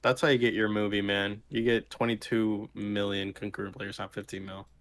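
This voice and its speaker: A man's voice: lowish voice, little pitch movement, normal speed, a bit bright, slight background noise. A man co-hosting a podcast in loose, rambling, slangy conversation with casual swearing. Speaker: podcast co-host. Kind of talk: casual group podcast chat.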